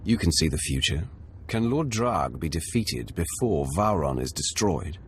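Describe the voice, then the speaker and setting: An actor playing a sardonic, hardened Sith warrior in a video game, dry, wry and confident. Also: male voice, low-pitched, sing-song, measured pace, exaggerated voice. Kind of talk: video game protagonist acting. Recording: echoey, reverberant, faintly noisy.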